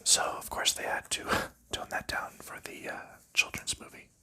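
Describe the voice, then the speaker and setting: A man whispering softly through an ASMR board game session, chatting in a rambling, easygoing way while sorting cards. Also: moderate pace. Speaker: ASMR host.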